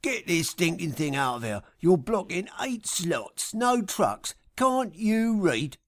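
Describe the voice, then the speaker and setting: A man's voice: sits high, very animated, takes it slowly, fairly bright tone, pitched above the performer's own voice, full character voice. A man voicing an angry, impatient supermarket parking attendant in a blunt, barking, aggressive way for an audiobook.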